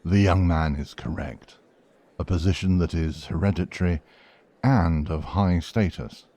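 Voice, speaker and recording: Very deep, mellow authoritative gentleman man acting. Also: a little slow. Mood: amused authority. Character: amused, authoritative gentleman calling a groom to account. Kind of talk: audio drama acting. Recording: dull, muffled, dry sound.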